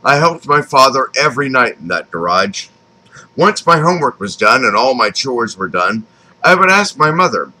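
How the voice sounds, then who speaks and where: An American man in his fifties narrates his own life story from a script. His voice is bright and forward, with lively rises and falls in pitch. He phrases deliberately and pauses often. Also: rough recording. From Texas, United States. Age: 50s. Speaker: author reading his own memoir. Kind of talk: scripted autobiographical narration, read aloud.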